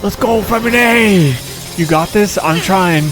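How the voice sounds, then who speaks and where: A woman giving casual gaming commentary, reacting in slangy, drawn-out phrases as she plays. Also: medium pitch. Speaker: game streamer playing along. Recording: loud game music behind the voice.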